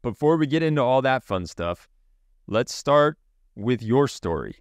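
Brisk male podcast host, smooth voice. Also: medium pitch, animated, no echo. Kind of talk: podcast interview.

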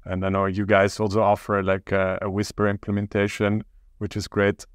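Guest, male, slow to find words, low even delivery, dry close sound. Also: clear, resonant tone, dry recording. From Austria. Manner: hesitant, halting, many pauses. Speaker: startup co-founder, podcast guest. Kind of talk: casual interview talk.

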